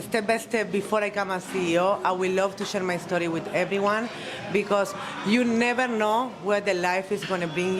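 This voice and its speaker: A woman speaking as a panel guest, earnest and heartfelt, in slightly non-native English. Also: mid-range voice. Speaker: guest, cigar company CEO. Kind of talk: casual panel speech. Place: cigar bar, live event. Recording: loud music in the background.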